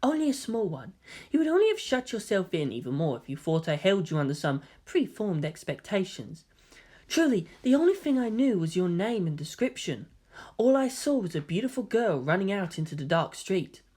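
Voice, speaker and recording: A woman voicing a gentle, elegant teenage boy in a story reading, soft-spoken, polite and earnest. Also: medium pitch, very animated, normal speed. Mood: mournful. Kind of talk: dramatic fan fiction character reading.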